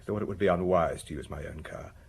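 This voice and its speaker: A shaken, low-voiced husband in radio drama. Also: male voice, gravelly, husky, heavily acted. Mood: numb, confessional. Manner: halting, anguished, defensive. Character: shaken husband, a vain well-dressed provincial, wife found murdered.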